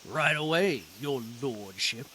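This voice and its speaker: A man's voice: medium pitch, expressive, slow, sharp tone, slight background noise. A man playing a friendly, admiring medieval castle workman in a video game cutscene. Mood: friendly, wry.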